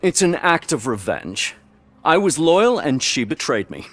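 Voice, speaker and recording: An actor playing a vengeful, aggrieved fired office worker in a video game. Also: male voice, fairly high pitch, big pitch swings, measured pace, no echo. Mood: bitter, defiant.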